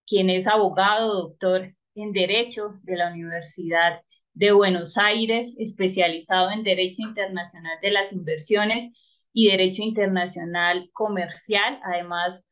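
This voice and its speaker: A woman's voice: mid-range voice, fairly bright tone. A woman in her thirties moderating a video call panel, introducing a guest in a formal, read-aloud manner. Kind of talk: introducing a speaker at an online panel. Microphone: webcam on a video call.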